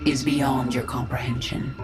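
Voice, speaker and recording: A defiant, brooding swarm queen, speaks with measured command. The voice echoes heavily over cinematic game music. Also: extremely low pitch, huge pitch swings, exaggerated voice. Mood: defiant, proud. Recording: echoing, with soundtrack music behind.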